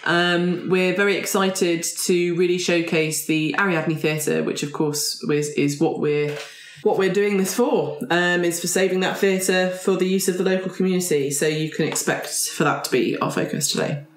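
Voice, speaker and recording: A woman's voice: on the low side, steady tone, moderate pace, a little hiss or hum. A woman improvising in character as a player in a tabletop roleplaying game. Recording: echoey room.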